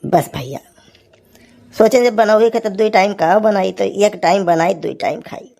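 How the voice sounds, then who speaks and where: A woman's voice: medium pitch, measured pace, creaky, darkish tone. A woman voicing a grumbling, teasing village husband in a cartoon comedy, with an Awadhi accent and a folksy, scolding manner. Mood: rueful, complaining.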